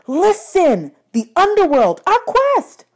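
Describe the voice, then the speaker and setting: A woman's voice: extremely high pitch, wildly swooping pitch, takes it slowly, pitched above the performer's own voice, exaggerated voice. A woman voicing an anxious young boy hero in a storybook, emphatic and pressing. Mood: urgent.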